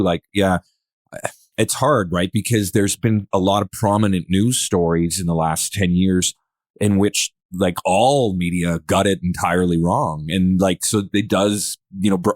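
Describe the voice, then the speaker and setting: A man's voice: low voice, normal speed, a little mellow, no room sound, clean studio sound. A man talks casually in a podcast discussion, informal and agreeable. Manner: informal, agreeable, frequent "like" and "you know". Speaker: podcast speaker.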